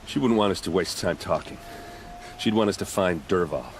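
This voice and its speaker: An actor playing an earnest, grieving young warrior and loyal little brother in a video game. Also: male voice, low voice, slight background noise. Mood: somber resolve. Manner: halting, pleading, stammering.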